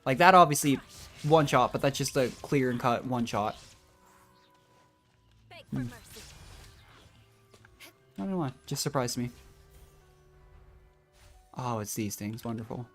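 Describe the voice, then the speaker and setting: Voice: medium pitch, unhurried, long pauses, a bit bright. A game streamer thinking aloud in casual, musing commentary while playing. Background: faint game music.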